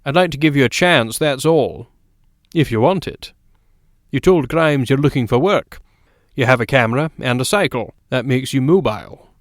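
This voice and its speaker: A blunt, commanding newspaper man who cuts in with short, firm sentences, as a male narrator plays him. His delivery is louder and pushier, read in a dry, close storybook voice. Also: medium pitch, animated, normal speed, no room sound, lightly acted. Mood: brusque.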